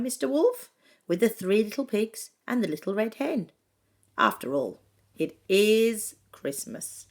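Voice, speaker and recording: A woman reading a children's picture book aloud in an expressive way, with dramatic pauses and emphasis. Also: medium pitch. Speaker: storybook reader.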